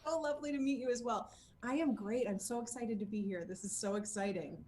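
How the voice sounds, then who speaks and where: A woman chatting casually as an interview guest, telling anecdotes in an animated, jokey way, with laughter close at hand. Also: on the high side, a little fast, roomy sound. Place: at home, indoor room.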